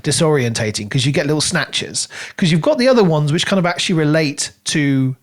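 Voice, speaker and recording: A man with a fairly high voice holds forth as a podcast co-host. He discusses books casually, in animated, rambling explanations that keep doubling back. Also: fairly quick. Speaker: podcast co-host reviewing a book.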